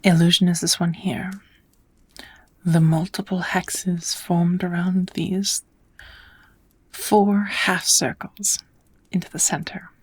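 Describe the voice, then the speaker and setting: A woman playing a kind witch who patiently teaches magic in a soft, often whispered ASMR roleplay voice. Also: fairly low pitch, flat delivery, husky. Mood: gentle, musing. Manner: patient, encouraging, unhurried teaching. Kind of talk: ASMR roleplay, whispered lesson to one listener.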